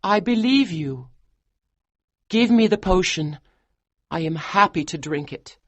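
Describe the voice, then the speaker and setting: A man's voice: sits high, lively intonation, takes it slowly, dry recording, muffled recording, voice pushed up, full character voice. A man voicing a loving, determined young wife in a storybook reading, with an earnest, pleading delivery. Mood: quiet. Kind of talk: audiobook character reading.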